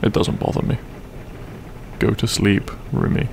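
A man playing a grumpy but tender half-dragon roommate in an intimate ASMR roleplay, gruff, sleepy and halting in a soft voice. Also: a little hiss or hum, close to an everyday voice. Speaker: roleplay voice actor. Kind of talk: intimate ASMR audio roleplay, comfort scene.

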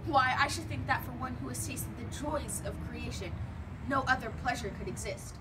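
A woman plays a dreamy, emotional young woman in a stage drama. She speaks in a high, earnest voice, some way from the mic with clear background noise. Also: moderate pace, reverberant, close to an everyday voice. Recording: noisy distant stage recording.

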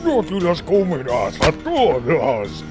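A man's voice: a little high, huge pitch swings, takes it slowly, heavily acted. A man voicing a pompous, cruel giant slug lord, commanding, with a Latin American accent in a comic dub. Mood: menacing. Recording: background music behind the voice.

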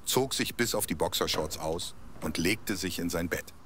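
A man narrating a scripted audio drama in a measured storybook delivery. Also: sits low, a bit bright, slight background noise.

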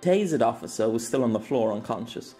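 A man's voice: mid-range voice, expressive, quick pace, mellow, soft background music. A man reading dramatic scripted narration as an audiobook narrator.

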